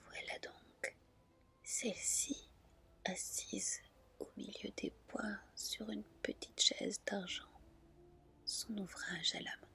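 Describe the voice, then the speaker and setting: Slow whispering woman storyteller. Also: mid-range voice, little pitch movement. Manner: gentle, soft, deliberate. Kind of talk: whispered ASMR fairy tale reading.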